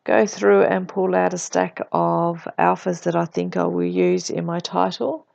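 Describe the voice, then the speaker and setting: A woman with a cold, her voice croaky, deep and husky, narrating her scrapbooking in a relaxed voice-over. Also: unhurried, no echo, lo-fi sound. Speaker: scrapbooking vlogger.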